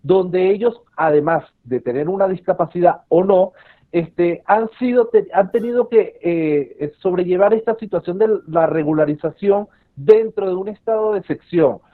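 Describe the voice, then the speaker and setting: A Venezuelan man from Caracas speaks earnestly on a video call, pausing often with fillers. His voice sits a bit high for a man and comes through a thin, phone-like line. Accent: Caracas Venezuelan Spanish. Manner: earnest, hesitant with frequent fillers. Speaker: civil association representative. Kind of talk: formal advocacy statement.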